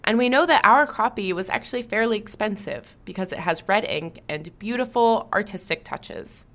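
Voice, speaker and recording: A woman's voice: medium pitch, expressive, normal speed, a bit bright. Woman narrating a history podcast. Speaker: podcast host. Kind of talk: scripted narration.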